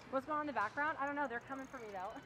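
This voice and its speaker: A woman's voice: sits high, fairly quick, bright, forceful tone. A woman with Romanian as her first language, chatting and laughing while live-streaming a mobile game outdoors in a town square. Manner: chatty, laughs often, reads chat aloud. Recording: street noise behind.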